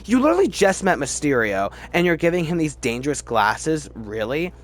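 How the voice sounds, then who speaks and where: A man's voice: fairly high pitch, very animated, moderate pace. A man giving casual, opinionated review commentary as a video host, talking emphatically and often stammering and repeating words. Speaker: video host ranking films.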